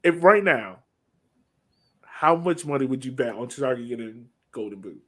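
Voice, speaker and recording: Male podcast host, relaxed conversational sports talk, mid-range pitch. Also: normal speed. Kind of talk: casual sports chat.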